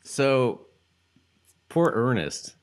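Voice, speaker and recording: Man, lively swooping pitch. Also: drawn-out words. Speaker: podcast co-host. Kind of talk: casual chat about a TV show.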